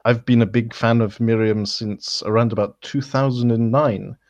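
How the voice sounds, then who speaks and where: A man's voice: mid-range voice, fairly even intonation, moderate pace, darkish tone, dry recording. Hesitant male podcast host, dull lo-fi sound. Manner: friendly, hesitant, many "uh"s and restarts. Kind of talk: casual interview.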